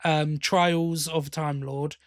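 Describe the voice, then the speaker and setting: A man with a slightly high voice talks as a podcast co-host in a close, dry recording. His delivery is casual review chat, with halting phrases that he restarts and corrects. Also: steady tone, dry recording.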